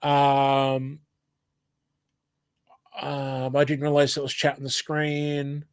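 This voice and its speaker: A man's voice: medium pitch, monotone, slow pace, smooth, no echo. An Australian man with hay fever and a blocked nose, casually reading a live stream chat aloud, hesitant and apologetic, with lots of ums.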